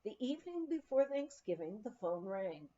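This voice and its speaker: A woman reading a memoir aloud as scripted narration, in a measured, expressive storytelling manner. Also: mid-range voice, a little hiss or hum. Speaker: audiobook reader. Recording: dull, lo-fi recording.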